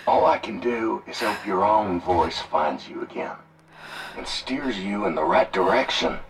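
An actor playing a weary, wary drifter in an audio drama, with a gravelly voice and a folksy manner. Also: male voice, fairly low pitch, measured pace, recorded from a distance, slight background noise, low-quality recording. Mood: resigned, tender. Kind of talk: audio fiction acting.

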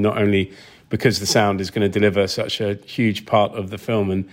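A man with a fairly low voice speaks as an interview guest explaining his craft. His delivery is casual and reflective, a little halting as he thinks aloud and rewords his sentences. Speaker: film sound designer, interview guest.

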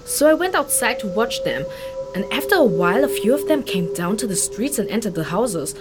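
An actress playing a chatty, candid human refugee in a fantasy audio drama, informal and expressive as she recalls things. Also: medium pitch, a little fast, over loud music, natural voice. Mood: thoughtful. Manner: informal, slangy, expressive, hesitant recollection.

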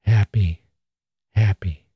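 A man's voice: on the low side, fairly even intonation, slow pace, warm tone, breathy edge, dry recording, full character voice. Man voicing a serene, contented young man. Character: peaceful, contented dead young man, imagined speaking.

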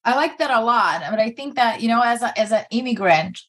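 A woman co-hosting a podcast who asks questions haltingly, often repeating words as she goes. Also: on the high side, expressive, fairly bright tone. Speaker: podcast co-host. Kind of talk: podcast interviewing.

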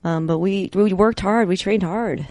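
A woman's voice: mid-range voice, measured pace, a little mellow, dry recording, studio quality. A woman in her fifties, a guest reminiscing casually in conversation with friends. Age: 50s. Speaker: podcast guest, former team athlete.

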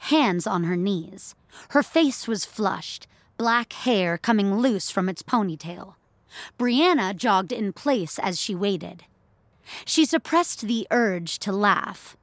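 A woman's voice: medium pitch, no room sound. A woman narrating scripted audio fiction in the third person.

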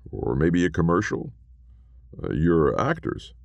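A man's voice: low-pitched, huge pitch swings, measured pace, gravelly, no room sound, muffled recording, pitched above the performer's own voice, exaggerated voice. A man voicing a weary, hesitant man who talks mildly and with uncertainty. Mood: puzzled. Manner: hesitant, mild.

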